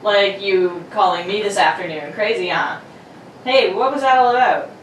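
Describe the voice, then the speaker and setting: A man's voice: sits high, normal speed, a bit bright. A man playing a breezy, affectionate 1950s suburban father in an amateur radio play reading. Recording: roomy, distant mic, clear background noise.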